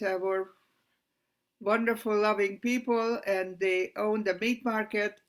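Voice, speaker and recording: Slow, halting older woman. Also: medium pitch, fairly bright tone. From Hungary. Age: 90s. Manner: slow, halting, earnest retelling with pauses; loses her place and restarts. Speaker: Holocaust survivor giving testimony. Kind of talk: personal testimony on a live stream.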